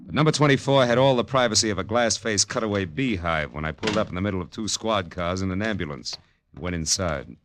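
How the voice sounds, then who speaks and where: An actor playing a wry, hard-boiled American private detective in an old-time radio drama, with dry, clipped wisecracks. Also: male voice, low-pitched, expressive, fast talker, fairly bright tone, slight background noise. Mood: wry narration. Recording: vintage radio drama recording.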